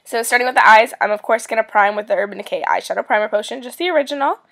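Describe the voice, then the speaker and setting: A young woman in her twenties with a raspy voice from a cold, chatting upbeat through a makeup tutorial as a beauty vlogger. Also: fairly high pitch, very fast, a bit bright.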